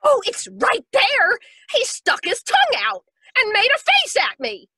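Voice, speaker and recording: A woman's voice: very high voice, wildly swooping pitch, measured pace, pushed and bright, dry recording, clean studio sound, full character voice. A woman playing a panicky, uneducated, brassy woman in old-time detective radio, with melodramatic, slangy working-class speech. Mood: panicked. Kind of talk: 1940s radio detective acting.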